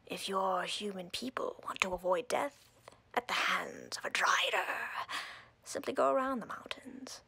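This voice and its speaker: A woman playing an imperious, coldly flirtatious drider queen in a roleplay audio, breathy and whispery, speaking haughtily and deliberately with playful menace. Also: medium pitch, bright, forceful tone, exaggerated voice, low-quality recording. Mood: imperious, reasoning.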